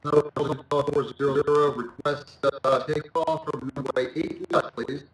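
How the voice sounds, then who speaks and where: A man's voice: on the high side, flat delivery, unhurried. A man chatting casually and a bit hesitantly as a pilot over game radio. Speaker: amateur pilot in online flight simulator. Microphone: online voice chat. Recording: roomy, distant voice-chat mic.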